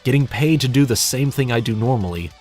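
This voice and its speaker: A man's voice: mid-range voice, lively intonation, brisk, darkish tone, soft background music. A young man giving a casual but scripted channel update in a wry, self-deprecating, sweary way. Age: young adult. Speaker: hobby narrator and audio play director giving a channel update.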